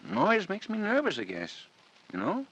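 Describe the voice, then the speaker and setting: An actor playing a genteel, scheming man who sounds smooth but edgy, in 1940s radio drama style. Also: high-pitched, huge pitch swings, a little slow, a bit bright, exaggerated voice. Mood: irritable. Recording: faintly noisy vintage radio recording.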